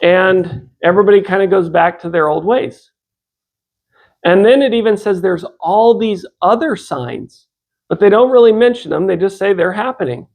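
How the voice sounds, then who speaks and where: A fifty-something American man speaks as an informal religious lecturer. His voice sits high for a man and has a strong gravelly creak. He talks conversationally, posing questions to his listeners and voicing imagined exchanges. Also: moderate pace, a little mellow.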